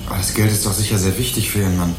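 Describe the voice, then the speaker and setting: A man's voice: fairly low pitch, fairly even intonation, very fast, roomy sound, noisy background, lightly acted. An actor playing a young student turned amateur investigator, courteous and hesitant but insistent in his questions, in a German crime radio play. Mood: polite, careful. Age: young adult.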